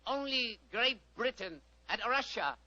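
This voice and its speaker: An actor playing an imperious Chinese emissary, giving curt commands in a clipped old radio drama manner. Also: male voice, extremely high pitch, animated, measured pace, sharp tone, dry recording, heavily acted. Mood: commanding. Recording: muffled vintage radio.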